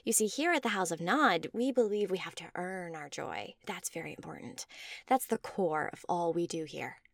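A woman's voice: lowish voice, animated, normal speed, creaky, no room sound. A woman voicing a smug, preachy male commune leader in a story, speaking with insistent cheer. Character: Bill, smug tall male leader of a joy-obsessed commune. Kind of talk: scripted story dialogue.

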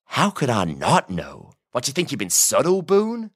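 Guy doing a cocky cowboy crook, drawly and mocking, pitch all over the place, audiobook style. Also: wildly swooping pitch, measured pace, a bit bright, husky, no room sound, studio quality, full character voice. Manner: smug, drawling, teasing. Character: smirking American cowboy outlaw in a cell, mocking, unhurried. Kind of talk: audiobook character acting.

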